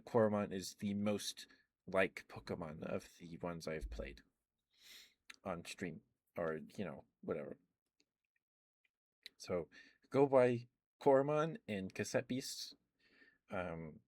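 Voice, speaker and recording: A man rambling casually about video games in a soft-spoken ASMR stream, full of ums and uhs. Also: deep voice, dry recording.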